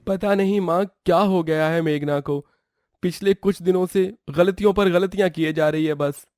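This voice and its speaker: A man's voice: high-pitched, normal speed, darkish tone, no echo, clean studio sound, lightly acted. A man voicing a disapproving young husband in a family moral story. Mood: troubled. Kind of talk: Hindi moral story voice acting.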